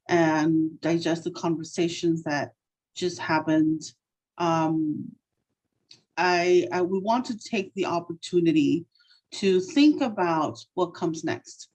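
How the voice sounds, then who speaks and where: A woman moderating a panel event, unhurried, with frequent fillers and restarts. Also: fairly low pitch, a little mellow, rough recording.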